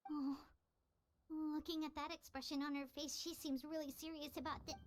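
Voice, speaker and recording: A woman voicing a small, chatty, excitable female fairy companion in a game. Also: moderate pace, lightly acted. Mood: chirpy. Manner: excitable, chatty, high and bouncy. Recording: game audio under a stream, noisy.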